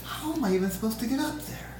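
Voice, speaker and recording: A woman's voice: on the high side, animated, fast, close to an everyday voice. A woman playing a plaintive, protesting nine-year-old boy at an amateur script read. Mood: sulky. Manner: whiny, protesting. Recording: echoey room, distant mic, hiss.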